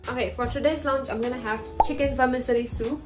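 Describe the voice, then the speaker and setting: High-voiced young woman vlogging. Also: fairly even intonation, fast talker. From Burma. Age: 20s. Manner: chatty, informal, addresses viewers as guys. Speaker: lifestyle vlogger. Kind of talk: casual chat to camera. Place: small flat room, indoors. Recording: music under the voice. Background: background music.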